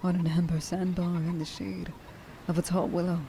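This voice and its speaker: A woman playing a tender, emotional young huntress in an ASMR roleplay, speaking softly and breathily and often dropping toward a whisper. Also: fairly low pitch, little pitch movement, normal speed, gravelly, a little hiss or hum. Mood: amazed, joyful. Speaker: voice actor.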